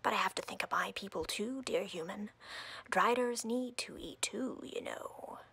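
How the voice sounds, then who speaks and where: A woman playing an imperious, coldly flirtatious drider queen in a roleplay audio, breathy and whispery, speaking haughtily and deliberately with playful menace. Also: medium pitch, pushed and bright, no echo, full character voice. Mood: imperious, reasoning.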